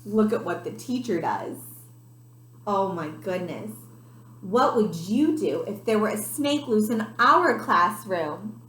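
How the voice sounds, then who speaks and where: A woman's voice: medium pitch, animated, slow pace, a bit bright, slight background noise. A woman teacher reading a picture book aloud expressively to children, stopping to point out details to her young listeners. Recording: roomy, echoey sound.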